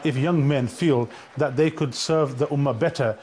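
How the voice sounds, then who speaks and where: A man's voice: mid-range voice, normal speed, darkish tone, slight background noise. A man from England, answering an interview casually with many fillers and stumbles. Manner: casual, lots of you know, stumbles.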